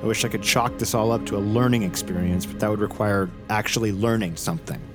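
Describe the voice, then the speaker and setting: A man's voice: lowish voice, natural voice. Guy acting american assassin on the run, rambly and wry, keeps cutting himself off, audio drama w/ music under it. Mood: regretful, wry. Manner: halting, self-interrupting, wry. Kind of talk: audio drama acting and first-person narration.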